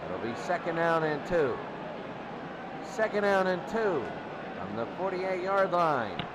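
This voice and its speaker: A man doing excitable play-by-play American football commentary in short, clipped phrases. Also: fairly high pitch, unhurried, heavy background noise. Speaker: play-by-play sports announcer. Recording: loud crowd and music behind. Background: crowd and music.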